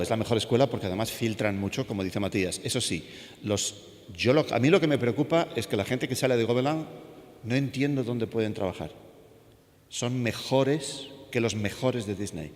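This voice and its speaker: A man's voice: fairly low pitch, darkish tone. A man on an animation panel, speaking emphatically and hesitating over names. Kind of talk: panel discussion. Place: art school event hall. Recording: echoey hall.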